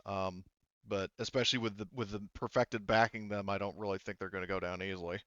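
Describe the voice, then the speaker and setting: A man acting as game master, casually explaining game lore in a halting way with many restarts. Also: on the low side, steady tone, fairly bright tone, dry recording. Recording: slightly dull, dry close mic.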